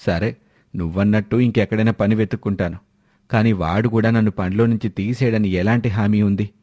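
Man acting village husband, low voice, ambitious, coaxing, moral-story dialogue, dry sound. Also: steady tone, brisk, dark tone, dry recording, close to an everyday voice. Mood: persuasive.

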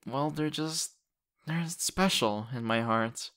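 A man playing an excitable, sappy young boyfriend in an audio roleplay, talking in a gushing, hesitant, stammering way into a close mic. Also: medium pitch, takes it slowly, smooth. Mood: earnest, nervous. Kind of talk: ASMR audio roleplay, conversational acting. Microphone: close condenser microphone.

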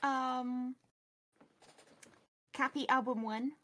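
A woman's voice: high voice, steady tone, extremely slow, fairly bright tone, no room sound. A woman in casual banter on a group livestream.

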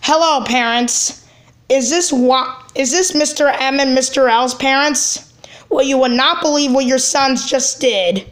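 An indignant cartoon brother, voiced by a woman, talks slowly in a high register. The delivery is halting, with phrases repeated, and full of concern. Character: indignant, worried cartoon brother scolding two troublemakers.

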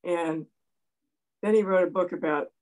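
A man's voice: sits high, unhurried, rough recording. A man in the audience sharing a historical note in a chatty way on a video call. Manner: storytelling, chatty. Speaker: audience member. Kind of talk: casual comment on video call.

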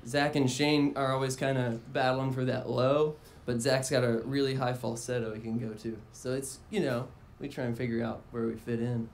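A musician, a man with a fairly high voice for a guy, chats loosely into a stage mic, full of fillers and easy asides. A faint outdoor hiss hangs behind him on the live broadcast. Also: normal speed, few pauses. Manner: relaxed, rambling with fillers. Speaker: band member guest. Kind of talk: casual interview chat. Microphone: stand mic on stage.

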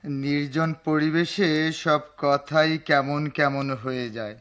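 A man's voice: a little high, fairly even intonation, takes it slowly, a bit bright, clear, resonant tone. A man with a Bangladeshi accent acting an enigmatic, teasing male traveller in a radio drama, in a cryptic theatrical manner. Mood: cryptic, amused. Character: enigmatic teasing male passenger at a deserted station.

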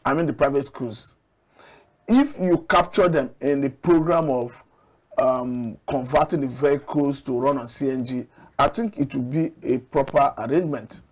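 A middle-aged man from Nigeria, a studio guest analyst, making measured, argumentative points with occasional restarts, on a lapel mic. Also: mid-range voice. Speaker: public affairs analyst guest. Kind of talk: studio TV discussion. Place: TV studio set.

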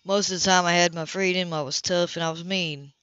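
Woman voicing a low, cynical streetwise man. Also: fairly even intonation, brisk, fairly bright tone, smooth, no room sound, natural voice. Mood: hard, cynical. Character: tough, streetwise adult man recounting a hard past. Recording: dull lo-fi home recording.

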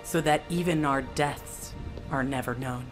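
A woman's voice: very deep voice, a little slow, over music. An actress playing a bitter, defiant female assassin in a video game, with a brooding, biting delivery. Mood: bleak, resigned. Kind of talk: dramatic video game acting.

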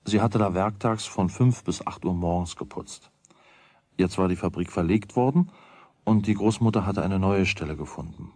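A man with a husky voice narrating a children's radio play. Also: deep voice, mellow, no echo. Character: storyteller of a children's tale.

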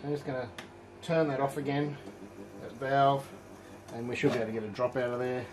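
A middle-aged man giving a casual hands-on home brewing demonstration, explaining in a relaxed way as he works in an indoor home brewery. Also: medium pitch, fairly quick, over music, low-quality recording. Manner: relaxed, explanatory, thinking aloud while working.

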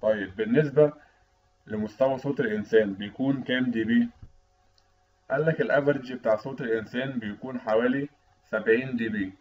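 A man with an Egyptian Arabic accent giving an explanatory technical lecture, teaching didactically as an instructor. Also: mid-range voice. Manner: didactic, asks and answers own questions. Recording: dull, lo-fi voice-over recording.

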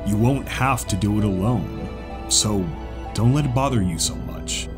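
A gentle, world-weary male zebra played by a man speaks low and earnestly in an audiobook drama. His delivery is measured and reassuring, with clear music underneath. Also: lightly acted. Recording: music behind the voice.

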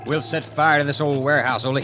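An actor playing a scheming young outlaw who gives curt orders in a 1950s radio drama. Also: male voice, fairly high pitch, fairly even intonation, fast talker, over music, close to an everyday voice. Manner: bossy, curt. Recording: noisy old radio broadcast.

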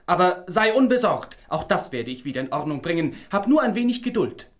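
An actor playing a bold, teasing, good-hearted young hero in a fairy-tale audio drama. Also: male voice, high-pitched, very animated, a little fast. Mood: reassuring.

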